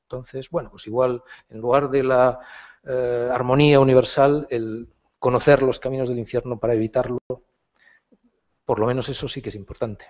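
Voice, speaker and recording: A man in his fifties from Spain, a philosophy professor answering audience questions after a lecture, thoughtful and halting. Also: medium pitch, steady tone, darkish tone. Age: 50s. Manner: thoughtful, halting, hedged phrasing.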